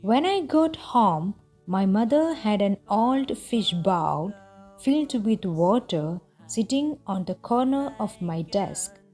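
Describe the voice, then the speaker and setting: A woman narrator reading a scripted story aloud for language learners, with deliberate, clearly phrased delivery. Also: medium pitch, animated, mellow, soft background music. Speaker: narrator of English learning read-aloud lessons.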